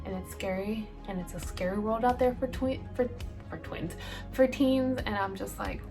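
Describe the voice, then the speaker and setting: A woman's voice: mid-range voice, normal speed, over music. A woman in her late twenties, from El Salvador, chatting casually through a get-ready-with-me Q&A, rambling with lots of ums and likes. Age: late 20s. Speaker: beauty vlogger.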